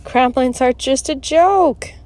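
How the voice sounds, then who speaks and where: A woman's voice: sits high, takes it slowly, darkish tone, voice pushed up. A woman voicing a genial scientist father in a storybook, explaining things without hurry. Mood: amused.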